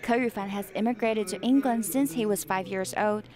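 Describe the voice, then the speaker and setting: A woman's voice: mid-range voice, normal speed. Female reporter over crowd chatter. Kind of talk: scripted news report.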